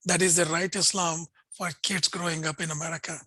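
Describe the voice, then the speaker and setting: A man, an Urdu speaker, talking earnestly as a guest and pausing often with fillers. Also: a little high, level tone, pushed and bright, no room sound. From India. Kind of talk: conversational interview.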